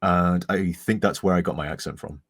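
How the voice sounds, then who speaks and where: A man's voice: sits low, fairly even intonation, moderate pace, fairly bright tone, no echo, studio quality. A man from England with a mostly neutral English accent and a slight northern tint, chatting casually and rambling on a live charity stream. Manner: chatty, rambling, self-deprecating.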